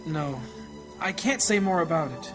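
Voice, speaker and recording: Man playing a gentle, high-voiced fantasy priest. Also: lively intonation, close to an everyday voice. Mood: troubled, secretive. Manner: earnest, measured, devout. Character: gentle, troubled priest, husband and father in fantasy. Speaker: voice actor in an audio drama. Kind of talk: fantasy audio drama acting. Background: music.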